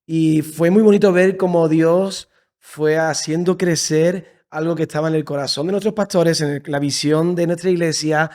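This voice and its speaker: A man in his thirties with an Andalusian Spanish accent, telling his story as a podcast guest in a lively, repetitive way. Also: fairly high pitch, normal speed. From Seville, Andalusia. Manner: warm, enthusiastic storytelling with frequent repetitions. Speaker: podcast guest, church leader. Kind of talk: casual conversational interview. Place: creative studio in Madrid.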